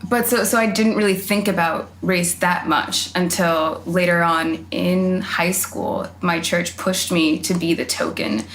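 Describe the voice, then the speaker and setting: A young woman with a lowish voice tells her story in a casual chat and voices her past thoughts aloud. The recording sits in an echoey room with a faint hiss. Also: fairly even intonation, a little slow, hardly any pauses, a bit bright. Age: young adult. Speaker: podcast guest.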